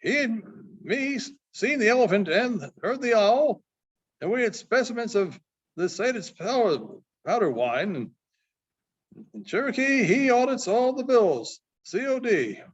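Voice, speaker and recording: Man reading as high-pitched boastful frontier mail rider. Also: sing-song, measured pace, slightly rough, exaggerated voice. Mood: boastful, excited. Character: folksy Old West mail rider, boastful storyteller. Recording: dull, muffled sound.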